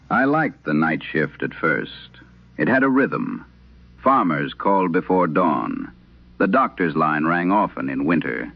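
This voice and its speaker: A man voicing an eighty-one-year-old former telephone operator recounting her past in a measured, grave, literary way. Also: low-pitched, no echo, full character voice. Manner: measured, grave, literary first-person storytelling. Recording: muffled band-limited old radio sound.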